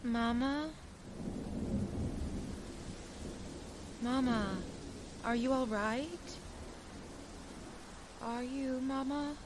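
An actress playing an earnest, worried young daughter in an audio drama, beseeching in manner. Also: female voice, fairly high pitch, background noise, natural voice. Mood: hushed, uneasy.

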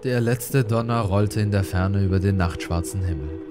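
Low-voiced man narrating horror over music. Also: normal speed. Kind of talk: dramatic scripted creepypasta narration.

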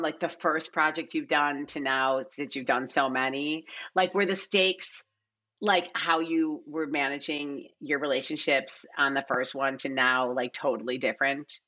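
A woman's voice: deep voice, pushed and bright. An American woman in her forties chatting casually in an interview, with quoted asides, over a video call. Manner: conversational, peppered with quoted asides. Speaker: casting director, podcast guest. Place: indoor living room, on a video call. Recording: dull lo-fi call audio.

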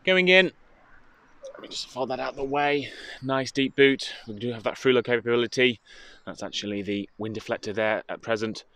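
A British man giving an informative, steady walkaround presentation as a car dealership presenter, speaking into a handheld phone outdoors. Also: medium pitch, moderate pace. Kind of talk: walkaround product presentation, semi-improvised commentary. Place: outdoors in a car park, partly inside a car.

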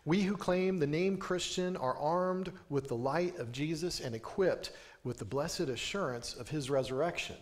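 A man's voice: a little high, moderate pace. Earnest man preaching from a pulpit. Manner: earnest storytelling with emphatic rising lines. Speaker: pastor. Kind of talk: sermon. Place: small church sanctuary, indoors. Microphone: pulpit microphone.

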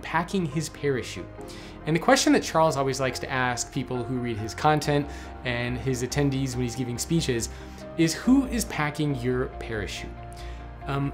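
Male host, thirties, earnest storyteller, talks straight to camera, faint music bed. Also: mid-range voice. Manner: earnest, storytelling, direct address. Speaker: podcast host. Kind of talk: scripted motivational talk to camera. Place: small studio lit with neon tubes, indoors.

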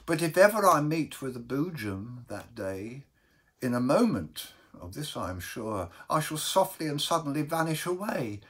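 A man voicing a meek, sorrowful baker in a storybook verse reading, telling his woe in a halting, mournful, mild way. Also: a little high, sing-song, fairly bright tone, husky, voice pushed up, exaggerated voice. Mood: anguished.

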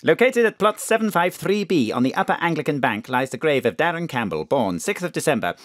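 A woman's voice: deep voice, sing-song, brisk, no echo, clean studio sound. A woman playing a formal, obliging digital assistant who asks pointed questions in an audio drama. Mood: formal, probing.

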